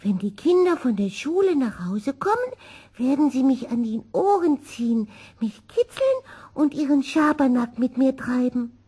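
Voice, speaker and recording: Woman as a gentle little boy kitten, high-voiced. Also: big pitch swings, normal speed, slightly rough, exaggerated voice. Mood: earnest, wistful. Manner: earnest, gentle. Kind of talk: children's audio storybook.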